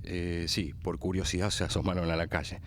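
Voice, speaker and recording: A man playing a dutiful, apologetic subordinate policeman in a radio drama, measured and deferential, with an Argentine accent. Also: deep voice, level tone, a little hiss or hum, close to an everyday voice. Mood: deferential reporting.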